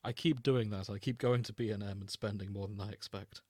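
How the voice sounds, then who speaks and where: A man's voice: lowish voice, fairly even intonation, fairly quick, warm tone, dry recording. A man hosting a podcast, chatting casually and jokily with the odd mock-announcer aside. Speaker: podcast host. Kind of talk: casual comedic podcast chat.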